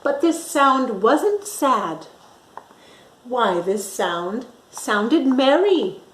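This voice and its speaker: A woman storytime reader with a slightly high voice, taking her time. She reads to young children with dramatic emphasis and chatty asides, in a noticeably echoey room. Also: big pitch swings, extremely slow, a little hiss or hum.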